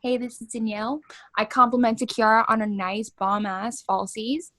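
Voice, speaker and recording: A woman's voice: a little high, flat delivery, normal speed, fairly bright tone. A woman in her twenties from Hawaii, co-hosting a podcast with blunt, cheerful banter and plenty of swearing. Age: 20s. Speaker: podcast co-host. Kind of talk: casual chat.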